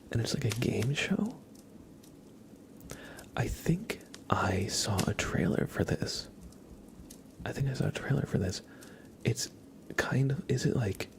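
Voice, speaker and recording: A young man whispering casual, rambling chat as the host of an ASMR livestream, often hesitating. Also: lowish voice.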